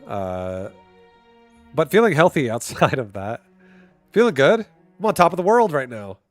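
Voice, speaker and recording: A man with a stuffy nose giving casual live commentary while streaming a game, thinking out loud and now and then breaking into excited shouts. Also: fairly high pitch, measured pace, dry recording, soft background music.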